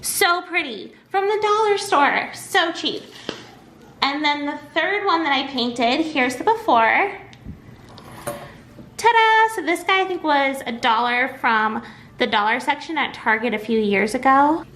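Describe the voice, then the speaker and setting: High, bright, nasal woman vlogger. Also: a little slow, slight background noise. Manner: bubbly, expressive, gushing. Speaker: craft and home decor YouTuber. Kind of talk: casual vlog chat.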